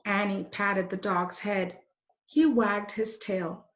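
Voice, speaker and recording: A woman reading a children's book aloud in a deliberate, expressive way. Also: mid-range voice. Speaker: read-aloud storyteller. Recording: roomy, dull lo-fi recording.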